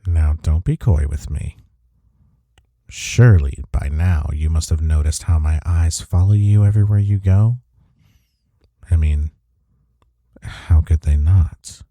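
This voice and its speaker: A man playing a smitten office rival in an intimate romantic audio roleplay, with a husky, mellow voice and a halting, teasing manner. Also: very deep voice, fairly even intonation, dry recording, clean studio sound, heavily acted. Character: flustered, smitten male office rival confessing attraction.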